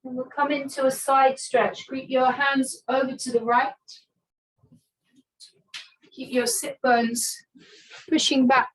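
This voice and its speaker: A woman teaching restorative yoga, giving calm, soothing guidance with long pauses between phrases. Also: high voice, poor audio. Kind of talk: guided restorative yoga instruction.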